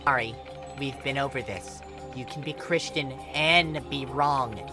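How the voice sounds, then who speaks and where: A man acts out an indignant young ghost in a fan fiction story. He snaps sarcastically at a mid-level pitch, with music clearly playing behind him. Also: unhurried, natural voice. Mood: exasperated. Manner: exasperated, snapping, sarcastic. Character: irritable, sarcastic young male ghost, foul-mouthed and indignant. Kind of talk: fan fiction character reading.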